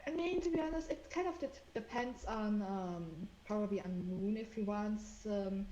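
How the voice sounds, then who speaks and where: A woman roleplay player on voice chat with a loud voice, often stammering and restarting her sentences. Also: mid-range voice, moderate pace, dull audio, slight background noise. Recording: echoey room voice chat.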